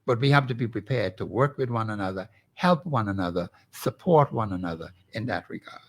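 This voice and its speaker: A man's voice: fairly low pitch, expressive, brisk. A man with a Bahamian accent, speaking as a guest professor and urging listeners with earnest rhetorical questions. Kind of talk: call-in show commentary.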